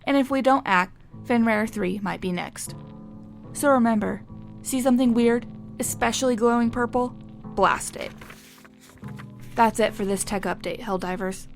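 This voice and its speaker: A woman's voice: fairly high pitch, moderate pace, gravelly, pauses often. A woman playing a cheeky wartime tech correspondent giving a chatty, punchy, wry scripted radio update. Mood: dramatic, rallying. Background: faint music.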